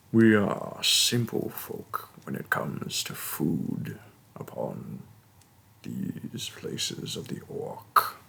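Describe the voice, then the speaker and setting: Man as fantasy orc shaman, heavy gravel, lowish animated pitch, stern, gruff, hospitable, formal roleplay delivery. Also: exaggerated voice. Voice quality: gravelly, husky. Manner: slow, deliberate, formal phrasing. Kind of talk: fantasy roleplay audio addressed to the listener.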